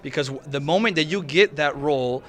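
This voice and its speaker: A man's voice: fairly high pitch, a little fast, a bit bright. A middle-aged man, an actor talking earnestly and emotionally at an indoor red carpet interview, often restarting his words. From Venezuela.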